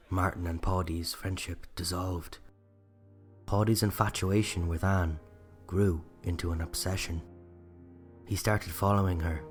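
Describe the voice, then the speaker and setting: A man with an Irish accent narrating a scripted true-crime story in a measured, reflective way. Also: low-pitched. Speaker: writer and narrator.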